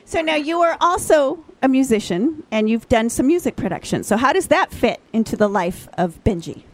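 A woman's voice: high voice, animated, moderate pace, a little hiss or hum. A woman hosting a radio interview in an upbeat, encouraging and polished way. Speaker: radio show host.

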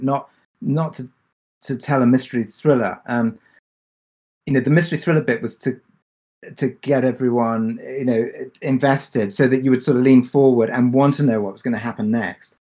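Man, mid-pitched voice, reflective Q&A talk, thoughtful, halting, repeated words. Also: a little mellow. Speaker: podcast creator and executive producer on a panel.

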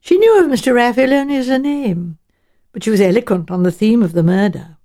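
A woman's voice: on the high side, a little fast, dark tone, natural voice. A woman voicing a shrewd, genteel elderly English village spinster, musing and politely chatty, in an audiobook. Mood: grave, thoughtful.

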